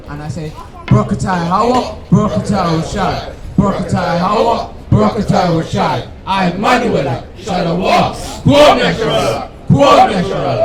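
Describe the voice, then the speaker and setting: A man preaching outdoors, emphatically teaching and calling out scripture for others to read. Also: high-pitched. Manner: emphatic, instructing, calls out scripture. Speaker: street preacher. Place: outdoors on a busy street. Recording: loud street traffic noise.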